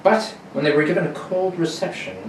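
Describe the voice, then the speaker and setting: A man's voice: high voice, brisk. A man narrating a dramatic scripted radio serial in the role of announcer. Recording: very echoey room, distant mic, faint noise.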